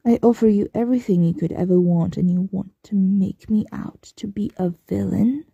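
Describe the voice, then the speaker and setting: A woman playing a possessive, smugly menacing mistress in an ASMR roleplay, breathy and often whispering, with a teasing, condescending coo. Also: medium pitch, warm tone, dry recording, dull audio. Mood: stern, commanding. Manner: teasing, condescending, drawn-out cooing.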